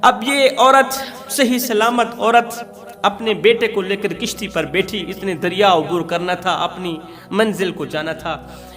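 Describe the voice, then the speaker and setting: A man's voice: high-pitched, measured pace, a bit bright. A man with a Pakistani accent preaches, telling a moral tale in a dramatic, declamatory sermon style with emphatic repetition. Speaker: preacher and religious storyteller. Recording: long hall reverb with music.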